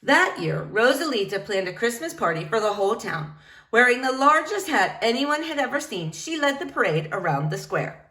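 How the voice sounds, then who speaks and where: A woman reading a children's book aloud, narrating in an expressive storytelling manner. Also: a little high, normal speed. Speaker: storybook reader.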